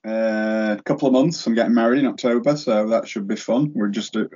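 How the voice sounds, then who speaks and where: Croaky man, low voice, lo-fi. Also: fairly quick, mellow. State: croaky after a cold. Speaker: podcast guest. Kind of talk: casual podcast chat. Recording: dull lo-fi sound.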